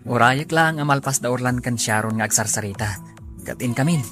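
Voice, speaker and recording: A man plays a devoted young suitor with a medium-pitched voice and an Ilocano accent. He sounds caring, in radio drama style, with faint music behind him. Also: a little fast, fairly bright tone, lightly acted. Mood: tender, caring.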